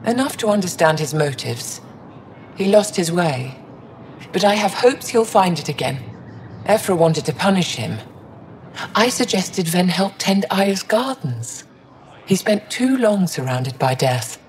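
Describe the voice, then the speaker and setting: A woman voicing an elderly, wise and wry alien scholar in a video game, speaking in a measured, dignified way. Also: lowish voice, very animated, darkish tone. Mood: solemn, reflective. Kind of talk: video game dialogue acting.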